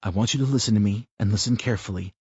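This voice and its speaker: A man's voice: lowish voice, racing, darkish tone, breathy edge, dry recording, highs cut off. A man voicing a troubled, earnest father who talks in a weary, pleading way in an audiobook.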